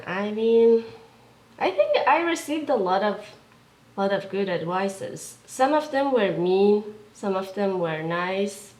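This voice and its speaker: A young woman talks about her work in an art studio. She speaks slowly and hesitantly, often pausing to search for words. Her pitch sits in an ordinary middle range. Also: poor audio. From Kurdistan region of Iran. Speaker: visual artist, interviewee. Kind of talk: casual interview.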